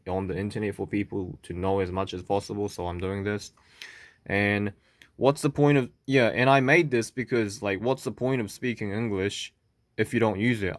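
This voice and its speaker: A man from South Korea speaking English with a Korean accent, talking to camera in a halting, stuttering way with many fillers and "okay?" checks. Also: fairly low pitch, no room sound. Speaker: vlogger. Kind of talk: unscripted monologue to camera.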